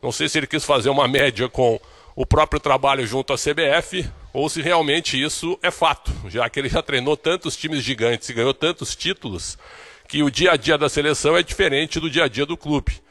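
Man, from Brazil, sports reporter, steady report, slightly high voice. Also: brisk. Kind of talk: recorded field report.

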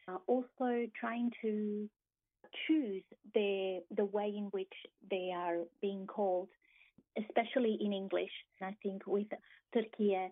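A woman's voice: medium pitch, dry recording, phone-quality sound. A middle-aged woman with an Argentine Spanish accent, a lecturer giving reflective, explanatory answers in an interview. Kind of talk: thoughtful radio interview.

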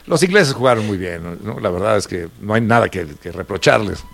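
A man's voice: fairly low pitch, expressive, a little fast, creaky, a bit bright. A man with a Mexican accent co-hosting a casual interview, inquisitive and playful in the way he talks. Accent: Mexican Spanish. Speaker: co-host, interviewer. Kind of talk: casual, joking interview chat.

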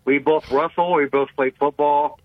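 An older man calls in over a thin, tinny phone line, talking in a blunt, rambling, folksy way. His deep voice sits a little high for a man, and the sound is dry and close. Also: steady tone, normal speed, no echo. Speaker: caller. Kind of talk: casual call-in chat.

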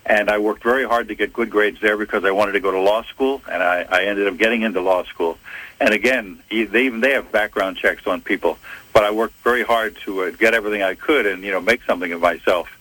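An older man from Philadelphia recounts his career in a measured, self-correcting way. His low voice is husky yet forceful. It sounds dry and close, with no room sound. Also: no echo, rough recording. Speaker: retired FBI agent, private investigator. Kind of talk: interview answers, recounting career.

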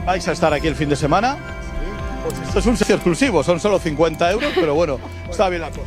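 A man's voice: a little high, lively intonation, fast, music in the background. A man presenting a comic weather segment in playful street-hawker patter.

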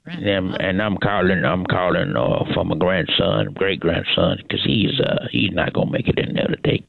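Guy putting on a cranky great-granny, low gravelly voice, rambles and cusses, dull dry phone sound. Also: normal speed, no room sound, heavily acted. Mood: polite. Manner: rambling, repeats herself, cusses. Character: cantankerous old great-grandmother on the phone. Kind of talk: prank phone call comedy bit.

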